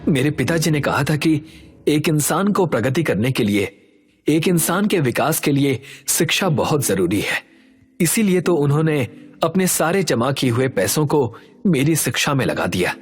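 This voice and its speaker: A man voicing an earnest, caring young village schoolteacher in an animated story, with an explanatory manner. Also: a little high, moderate pace, husky, soft background music. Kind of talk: Hindi animated story voice acting.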